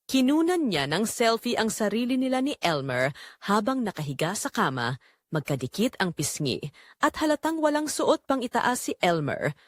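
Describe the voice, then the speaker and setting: Filipino woman narrator with lively, swooping pitch. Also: normal speed, no echo, studio quality. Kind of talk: scripted dramatic story narration.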